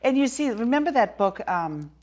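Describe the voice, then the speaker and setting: A middle-aged woman with a Boston accent, hosting a local talk show interview, chatty and quick-talking with many restarts. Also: fairly high pitch, sing-song, a little mellow.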